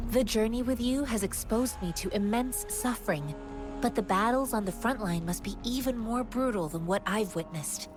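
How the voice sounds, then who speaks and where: An actress playing an earnest, polite young martial disciple in a video game, speaking in a formal, measured, reflective way. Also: female voice, mid-range voice, close to an everyday voice. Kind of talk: video game dialogue acting. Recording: background music.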